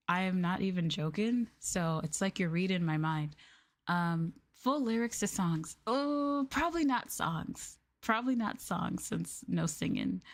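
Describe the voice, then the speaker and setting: An American woman streamer chatting casually and softly to her viewers in an expressive, ASMR-style live chat. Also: lowish voice. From United States.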